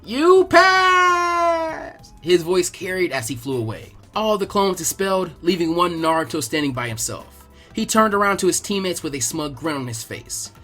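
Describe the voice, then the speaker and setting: A man narrates a fan-written story in a somewhat high voice. He reads from a script, and music plays behind him. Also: lively intonation, fairly quick, a bit bright.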